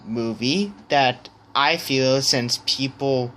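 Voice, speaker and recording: A man with a bright, forward voice talks slowly through his opinions in a casual vlog. His phrasing is hesitant and halting, with word starts repeated as he thinks aloud. There is some noticeable background noise around him. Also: medium pitch. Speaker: vlogger.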